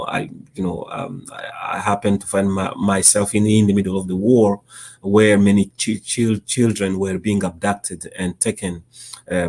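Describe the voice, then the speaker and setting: A man with a Congolese accent and a fairly low voice gives interview answers. His speech is halting, with frequent stammered repeats as he explains his work as an organization's director. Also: darkish tone, slight background noise. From Democratic Republic of Congo. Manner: halting, stammering repetitions of word starts. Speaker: interview guest, nonprofit executive director.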